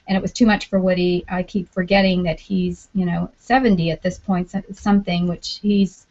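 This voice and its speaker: A woman's voice: on the low side, little pitch movement, moderate pace, a little mellow. A woman hosting a casual group video chat, chatty and self-joking, talking over a call mic. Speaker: podcast network host. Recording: dull lo-fi online call audio.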